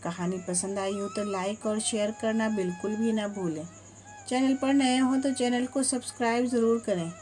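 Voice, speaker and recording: A woman's voice: on the high side, moderate pace, darkish tone. A woman storyteller reading a scripted moral tale as host of a story channel.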